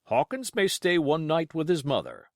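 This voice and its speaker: Expressive man narrating, with lively swooping pitch. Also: moderate pace, creaky, no echo, studio quality. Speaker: audiobook reader. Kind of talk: expressive literary narration of a classic adventure novel.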